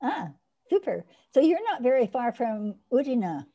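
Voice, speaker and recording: Older lady teaching an online class over video call, warm mellow voice, keeps restarting sentences as she explains. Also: fairly high pitch, very animated, unhurried. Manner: eager, explaining, with frequent restarts. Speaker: instructor hosting an online course.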